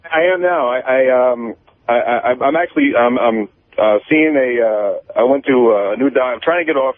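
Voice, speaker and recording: A man's voice: medium pitch, dry recording. Guy phoning into a radio show, really thin phone sound, dry, stammers and rambles thru his story. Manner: halting, rambling, repeats words and stammers. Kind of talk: casual confessional chat. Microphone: telephone. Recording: tinny, band-limited phone line.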